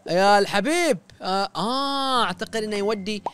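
A man's voice: high voice, fairly quick, a bit bright, clear, resonant tone. A young man giving casual let's-play commentary, chatting to viewers and repeating short commands as he plays, on a close studio mic in a small home studio. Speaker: gaming YouTuber. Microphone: studio mic close up, wearing headphones.

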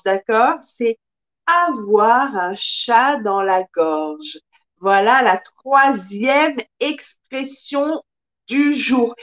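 A woman in her thirties teaching a live-streamed French lesson, speaking carefully and expressively, recorded by a webcam in a small room. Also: on the high side. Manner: slow, careful, expressive teacher with lots of hand gestures. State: occasional coughing from pollen allergies.

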